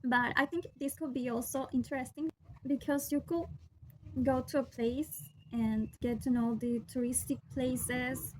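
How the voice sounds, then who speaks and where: A young woman from Peru, a Spanish speaker with a Peruvian accent, talking to her camera in careful English with many pauses and restarts. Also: high voice, slight background noise, poor audio. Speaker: vlogger. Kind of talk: casual talk to camera.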